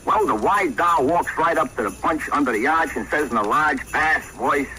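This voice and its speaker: A man's voice: on the high side, normal speed, pushed and bright, soft background music, low-quality recording. A man narrating a slangy, wisecracking comic story in 1940s radio style, on an old broadcast recording.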